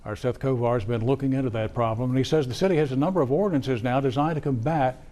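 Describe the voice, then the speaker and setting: A man's voice: mid-range voice, quick pace, mellow. An American man reading the news as a TV anchor.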